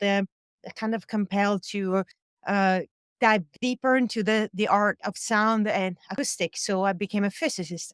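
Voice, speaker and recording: A woman's voice: mid-range voice, clean tone, no echo, studio quality. A woman guest who is a musician and sound engineer, talking casually in an interview with many fillers and small laughs. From Europe. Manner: chatty, many fillers, laughs between thoughts. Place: home recording studio on a boat.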